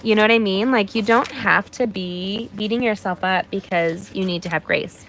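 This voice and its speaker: A woman's voice: medium pitch, moderate pace, slight background noise, low-quality recording. A woman hosting a live card-making stream, chatting and encouraging her viewers as she works, her voice a little nasal from allergies. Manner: warm encouraging, talks to viewers by name. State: mild allergies. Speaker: craft demonstrator hosting a livestream. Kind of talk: chatty live craft demonstration.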